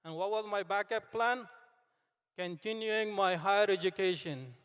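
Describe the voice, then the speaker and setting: A high-voiced young man from Afghanistan, a native Dari speaker, addresses graduates at an unhurried pace and in an earnest, halting manner. His voice is smooth and clear, and it carries a long reverb through a large hall. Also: fairly bright tone. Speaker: commencement speaker. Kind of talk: speech to graduates.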